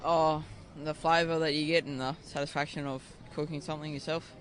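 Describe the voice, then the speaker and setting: A man's voice: fairly high pitch, flat delivery, fairly quick, a bit bright, slight background noise. Young man answering briefly outdoors. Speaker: guest helper. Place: outdoor food event.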